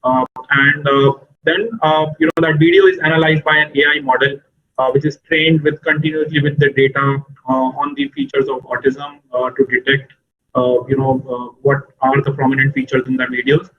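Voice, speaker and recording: A man from India speaking hesitantly as a startup founder on a virtual panel, filling pauses with uh and you know. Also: mid-range voice, level tone, muffled recording. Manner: hesitant, frequent uhs and you knows. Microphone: video call.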